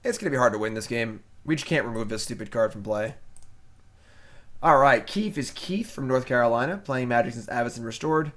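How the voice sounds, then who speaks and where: A man's voice: medium pitch, lively intonation, brisk, fairly bright tone. A man streaming a card game live, casually thinking aloud about his plays and criticizing his own mistakes. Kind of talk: casual live gameplay commentary.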